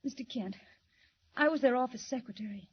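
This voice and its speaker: A woman's voice: a little high, brisk, husky. An actress playing a frightened but spirited young secretary in a 1940s radio drama, telling her story urgently. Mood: anxious. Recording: dull old radio transcription.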